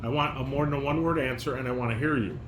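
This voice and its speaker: A man's voice: mid-range voice, quick pace. A man talking to a child as a father, giving instructions and asking questions in a firm, correcting manner. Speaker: father leading a family table read. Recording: echoey room, background noise.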